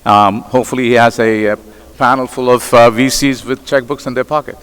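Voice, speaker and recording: A man's voice: mid-range voice, steady tone, a little fast, fairly bright tone, clear, resonant tone. A man introducing a segment from the stage as a semi-formal event announcer. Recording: reverberant conference hall.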